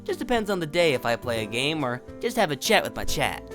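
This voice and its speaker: A woman's voice: low-pitched, sing-song, a little fast, over music. A woman playing a laid-back, friendly young streamer with a casual, slangy delivery in a fan audio drama. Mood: relaxed.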